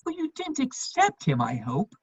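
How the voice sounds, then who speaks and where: Woman acting as foppish lovestruck gentleman, husky voice, very swooping intonation, witty, stage comedy, dull lo-fi sound. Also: sits high, wildly swooping pitch, moderate pace, no echo, exaggerated voice. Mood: flustered ardour. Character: foppish, lovestruck gentleman just over thirty, charming wit. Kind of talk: stage comedy of manners, dramatic reading.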